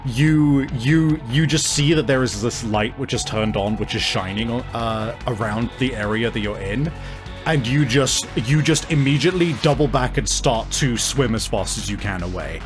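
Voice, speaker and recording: A man narrating a tabletop roleplaying game as game master, improvising suspenseful description in a halting way. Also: mid-range voice. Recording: music behind the voice. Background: music.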